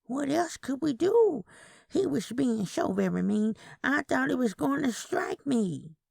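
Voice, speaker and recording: A woman voicing a frail, wistful twin aunt in her eighties with a soft, sing-song manner, in a Southern Gothic story. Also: sits high, big pitch swings, measured pace, creaky, slightly rough, no room sound, studio quality, voice pushed up, heavily acted. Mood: pleading. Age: 80s.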